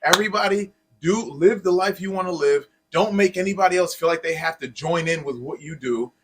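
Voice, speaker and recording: A man's voice: on the high side, brisk, husky. A man talking casually and earnestly as a podcast co-host, with informal, buddy-like phrasing. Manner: informal, peppered with "man" and "dude".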